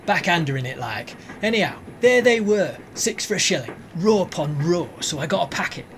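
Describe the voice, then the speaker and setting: An actor playing a brash, teasing young football fan with a Northern English accent in a radio play. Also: male voice, a little high, animated, brisk, fairly bright tone. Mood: cocky. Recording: faint vehicle noise behind.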